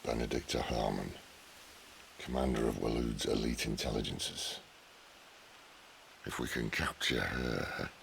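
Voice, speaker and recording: An actor playing a grim young swordsman in a video game, speaking low and terse. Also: unhurried, vocal fry, pauses often, a bit bright, a little hiss or hum, heavily acted.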